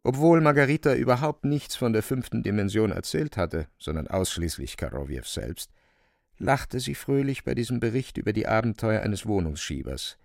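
A man narrating a literary radio drama in a creaky voice, deliberately, phrase by phrase. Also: on the low side, big pitch swings, no room sound, clean studio sound. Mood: measured, wry. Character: measured literary narrator of a dark fantastic tale.

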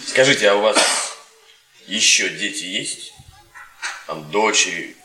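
A man's voice: fairly low pitch, expressive, unhurried, sharp tone, soft background music. An actor playing a reserved, politely curious married man in a radio play, speaking in short, probing questions and replies. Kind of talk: Soviet radio theatre acting, dialogue. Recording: roomy stage-like echo.

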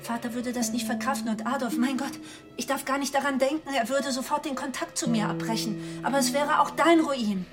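A woman's voice: high voice, brisk, loud music behind the voice, close to an everyday voice. An actress playing an anxious, ambitious woman in a radio drama, pleading urgently and repeating herself. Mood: frightened, pleading. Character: anxious, ambitious woman photographer hiding a forbidden love.

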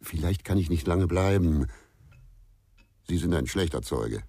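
An actor playing a brooding, insistent investigator in a radio crime drama, with terse, probing speech and a deep, husky voice. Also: male voice, animated, measured pace, warm tone, no room sound, full character voice. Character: brooding, insistent male amateur investigator, sleepless.

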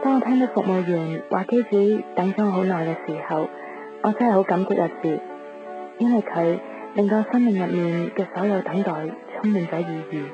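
A woman's voice: fairly low pitch, fairly quick, lightly acted. An actress playing a young woman in love in a Cantonese radio drama, both narrating and speaking in scenes. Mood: wistful, reflective. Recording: dull lo-fi radio broadcast, music behind.

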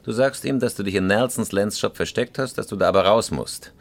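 Actor as gravelly, low-voiced commanding crook. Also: male voice, a little fast, lightly acted. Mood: cool, calculating. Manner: terse, matter-of-fact, giving orders. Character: cool, commanding career criminal planning a getaway. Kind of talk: radio crime drama acting.

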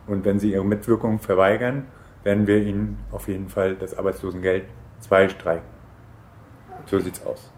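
A man's voice: low voice, flat delivery, moderate pace, a little mellow, a little hiss or hum. An actor playing an officious government sociologist in a radio play, speaking formally and matter-of-factly as he conducts an interview. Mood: formal, businesslike. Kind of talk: German radio play acting.

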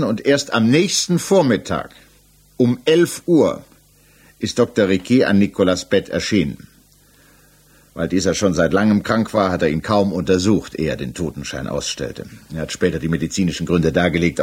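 A man acting as an examining magistrate in a 1960s German radio drama, speaking in a measured, formal way with pointed questions. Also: lowish voice, husky. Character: male examining magistrate, methodical, probing, insistent, coolly persistent. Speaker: actor in a radio crime drama.

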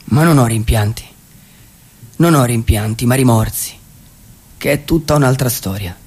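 A man playing a dreamy vagabond poet who calls himself nobody, in a halting, reflective theatrical monologue in speech. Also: medium pitch, a little mellow, husky, lightly acted. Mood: wistful. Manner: halting, repeats words and phrases reflectively.

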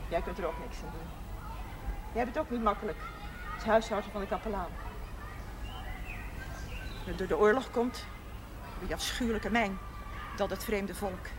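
An actress playing a proud, chatty older village wife who takes offense easily but soon calms down. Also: female voice, mid-range voice, natural voice. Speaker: shopkeeper's wife. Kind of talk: 1970s TV drama acting. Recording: noisy old TV broadcast audio.

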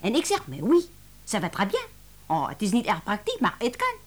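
An actress playing an excitable, principled young French cook with a French accent, dramatic and indignant, in a radio drama. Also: female voice, mid-range voice, brisk, slightly rough. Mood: indignant, animated. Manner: dramatic, indignant, sprinkles speech with French exclamations.

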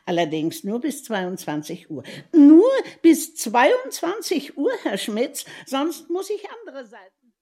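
A woman's voice: high voice, sing-song, takes it slowly, dark tone, full character voice. An actress playing a chatty, bossy middle-aged landlady in a German radio drama, wordy and condescending. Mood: gushing, patronising.

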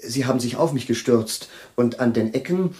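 An actor playing a flustered, forgetful old-school professor. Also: male voice, medium pitch, moderate pace, dark tone, lightly acted. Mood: rambling. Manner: hesitant, groping for words, polite and nervous. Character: absent-minded male chemistry professor, flustered. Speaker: professor Jefrosimow. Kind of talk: 1980s radio play acting.

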